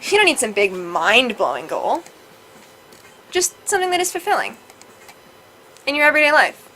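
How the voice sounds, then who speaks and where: A woman's voice: high-pitched, big pitch swings, moderate pace, bright, forceful tone, heavily acted. A woman voicing a curious, cheeky young adventurer, reading visual novel dialogue in a casual, teasing way over a noisy recording. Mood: encouraging.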